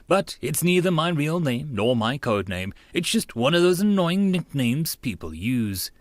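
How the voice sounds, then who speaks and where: A man's voice: a little high, sing-song, unhurried. A man narrating a visual novel aloud as a channel host, slipping into casual asides.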